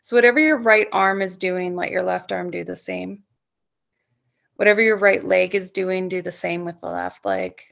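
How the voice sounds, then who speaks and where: A woman leading a guided sleep meditation as a yoga nidra instructor, speaking soothingly in gently coaxing, unhurried phrases with long pauses. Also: lowish voice, expressive, gravelly.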